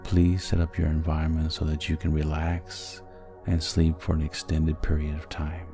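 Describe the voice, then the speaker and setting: A man narrating a guided sleep hypnosis in a soothing, gentle and measured manner. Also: extremely low pitch, monotone, over music.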